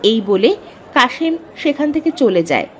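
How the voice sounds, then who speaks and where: High-pitched, animated woman storyteller. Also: measured pace, a little hiss or hum. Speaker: narrator. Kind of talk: scripted children's storybook narration.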